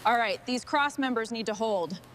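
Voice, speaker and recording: A woman's voice: fairly high pitch, expressive, moderate pace, noisy background. A woman working as a mechanic, giving short hands-on commentary on her work as she goes. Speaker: mechanic narrating restoration work.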